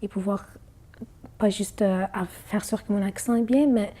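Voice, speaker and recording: A woman's voice: mid-range voice, steady tone, normal speed, mellow, slightly rough. A woman singer whose first language is Arabic, answering interview questions in a hesitant way, with many fillers and restarts.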